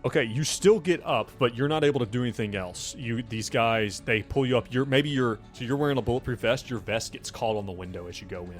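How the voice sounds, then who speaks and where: A man's voice: mid-range voice, fast talker, few pauses. A man running a tabletop roleplaying game, improvising narration and explaining scenes and rules in a conversational way. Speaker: game master. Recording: music playing faintly behind.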